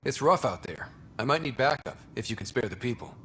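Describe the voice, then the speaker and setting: An actor playing an earnest young human explorer in a video game, asking things in a brisk, inquisitive way. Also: male voice, mid-range voice, animated, noisy background, close to an everyday voice. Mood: businesslike.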